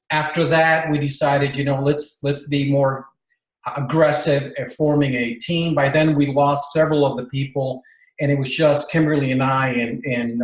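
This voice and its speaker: Man telling a long story in a roomy space. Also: a little high. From Bolivia. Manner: rambling, many fillers and restarts. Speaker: interview guest. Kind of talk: casual storytelling interview.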